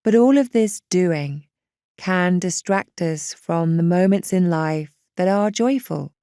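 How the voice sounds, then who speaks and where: A woman leads a guided meditation in a soft-edged, relaxed voice. She speaks very slowly and reassuringly, with a smooth, clean tone. Her manner stays gentle and unhurried throughout. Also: lowish voice, no echo, studio quality.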